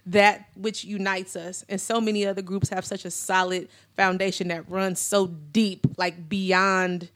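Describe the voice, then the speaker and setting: A woman's voice: mid-range voice, level tone, a little slow, few pauses, a bit bright. An American woman co-hosting a casual discussion, talking in an earnest, reflective way. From United States.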